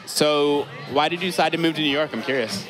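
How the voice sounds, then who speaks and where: Young guy hosting a chill podcast, laughs a lot, dj music playing loud behind him. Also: fairly high pitch, fairly quick, poor audio. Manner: relaxed, laughs easily, loose questions. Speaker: podcast host. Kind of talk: casual interview chat. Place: bar with live DJ in the background. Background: music from DJs.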